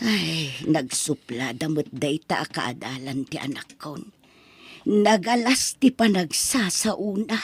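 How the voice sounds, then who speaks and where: A woman's voice: deep voice, takes it slowly. A woman playing a poor, loving mother in a drama, with an apologetic, deferential manner. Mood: hesitant, pleading. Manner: plaintive, deferential. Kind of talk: Ilocano radio-style drama acting.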